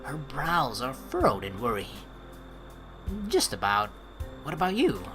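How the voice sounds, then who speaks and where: Female let's player, low register, forceful and highly animated, casual playful commentary and read-aloud over game music. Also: unhurried. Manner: expressive, playful, swearing. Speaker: let's play host.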